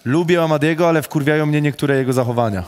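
A man's voice: on the high side, quick pace, a little mellow. Polish man firing off blunt questions. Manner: blunt, swears casually. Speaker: host reading out statements. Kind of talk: rapid-fire question round.

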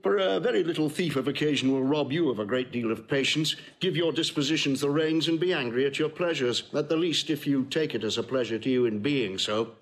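An actor playing a witty, sardonic Roman patrician, teasing with hesitant mock-politeness in Shakespearean comic style. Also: male voice, medium pitch, brisk, hardly any pauses, lightly acted, poor audio. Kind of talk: classical Shakespearean comic acting.

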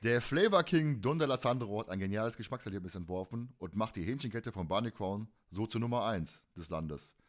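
A man's voice: fairly low pitch, animated, brisk, few pauses. Casual male podcast host, colloquial and jokey. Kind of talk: casual fan podcast chat.

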